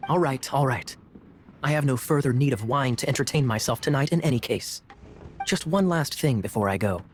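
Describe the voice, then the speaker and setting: An actor playing a sly, suave young knight in a video game, teasing and theatrical. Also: male voice, medium pitch, fairly quick, a little hiss or hum, natural voice. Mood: wry.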